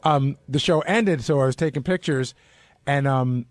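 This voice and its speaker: A man's voice: medium pitch, moderate pace, no echo. A man telling a story in casual radio chat as a show staffer.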